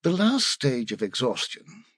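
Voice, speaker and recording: A man reading an audiobook as a scripted literary narration, in a measured, dramatic delivery. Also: mid-range voice, big pitch swings, a bit bright, no echo, clean studio sound.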